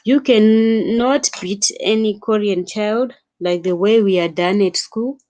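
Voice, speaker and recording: A woman's voice: mid-range voice, takes it slowly, darkish tone. A young woman from Africa chatting casually on a late-night live stream, reading viewer comments and calling them sister, laughing often. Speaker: vlogger.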